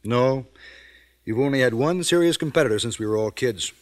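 A middle-aged man playing a genial, gently teasing father in an old radio drama, speaking in a paternal, unhurried way. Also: mid-range voice, expressive, natural voice. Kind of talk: 1940s radio drama acting.

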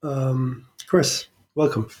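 A man hosting a podcast interview, asking questions haltingly with many fillers. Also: medium pitch, steady tone, a little mellow. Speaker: podcast host.

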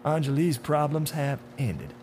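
A man playing a menacing, taunting otherworldly man in a dark suit in a horror story, with theatrical, deliberate, sneering questions. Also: a little high, expressive, voice pushed up. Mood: smug, menacing. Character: menacing, taunting dark-suited man, smooth-talking otherworldly bringer of death. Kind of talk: dramatic horror audiobook acting. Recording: audible hum behind the voice.

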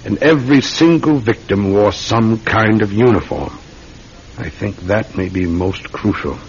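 An actor playing a polite, methodical London police detective with a British accent, deferential and musing in an old-time radio drama. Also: male voice, lowish voice, unhurried, slight background noise, lightly acted. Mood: earnest, theorising. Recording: dull, muffled classic radio recording.

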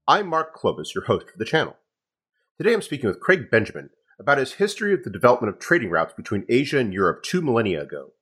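A man hosting a podcast interview with wordy, somewhat halting phrasing. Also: mid-range voice, a bit bright, husky.

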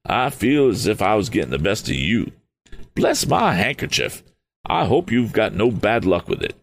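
A flustered, eccentric old gentleman, put on by a man reading a storybook aloud bursts out in sputtering exclamations. His voice sits well above the reader's own deep tone and swings widely. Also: huge pitch swings, normal speed, creaky, a little hiss or hum, full character voice. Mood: jovial. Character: eccentric excitable older man, fond of exclamations.

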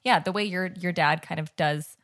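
A woman's voice: fairly low pitch, normal speed, a bit bright, dry recording, clean studio sound. A woman hosting a casual interview, asking rambling questions full of fillers and backchannels. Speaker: podcast host.

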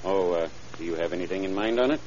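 An actor playing a steady, practical police chief, matter-of-fact and authoritative, in a vintage radio crime drama. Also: male voice, sits low, fast talker, lightly acted. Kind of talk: 1930s-40s crime radio drama acting. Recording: muffled old radio recording, faint hiss.

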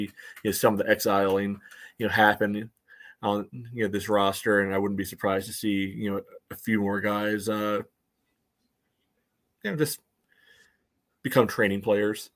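A man's voice: on the low side, level tone, moderate pace, pauses often, fairly bright tone. A man co-hosting a sports fan podcast, chatting casually in a wry, frank, hesitant way. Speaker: co-host of a soccer fan podcast.

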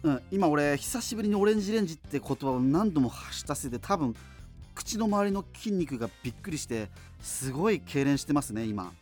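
An actor playing a chatty, self-deprecating radio host who muses casually through listener letters, with music behind him. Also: male voice, on the high side, sing-song, brisk, a little mellow. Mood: musing, conversational. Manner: rambling, colloquial, musing asides.

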